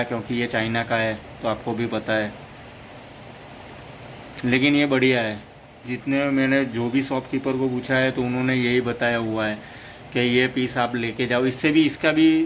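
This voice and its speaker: A man's voice: medium pitch, fairly bright tone, a little hiss or hum, rough recording. A man giving a casual, rambling product demo as a motorcycle vlogger, stringing his points together with "plus".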